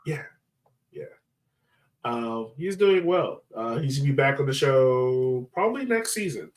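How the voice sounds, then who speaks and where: A man's voice: mid-range voice, expressive, unhurried. A man hosting a casual sports podcast, talking in a chatty, informal way.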